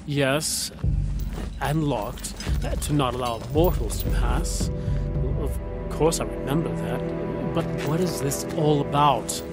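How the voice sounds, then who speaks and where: A man's voice: medium pitch, slow pace, over loud music, close to an everyday voice. An actor playing a devout, fervent male paladin in a fantasy audio drama. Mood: puzzled.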